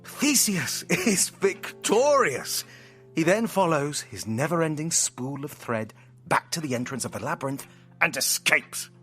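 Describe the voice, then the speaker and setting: A playful, theatrical storyteller in an audio drama. The voice sits at a medium pitch, with hammy flourishes and hesitant, affectionate asides, and music plays softly underneath. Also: sing-song, measured pace. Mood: gleefully theatrical.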